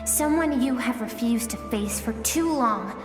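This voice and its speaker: Woman voicing a high-pitched, gentle young heroine, echoing. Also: unhurried, natural voice. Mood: earnest, solemn. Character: gentle, earnest emotional inner self of a young woman. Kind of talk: scripted video game voice acting. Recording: echoey long reverb, music behind.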